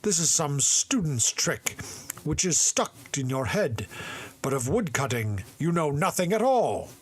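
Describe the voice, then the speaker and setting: A gruff old woodcutter father scolds his son, loud, exasperated and anxious, as a man reading the tale puts him on. His pitch jumps around a lot, and there is a husky edge to the voice. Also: big pitch swings, normal speed. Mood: scolding.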